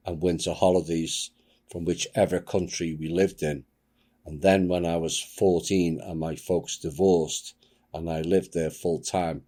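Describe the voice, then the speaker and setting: A man hosting his channel, reading a submitted account aloud with a grave, measured delivery. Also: low voice, dark tone. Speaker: channel host.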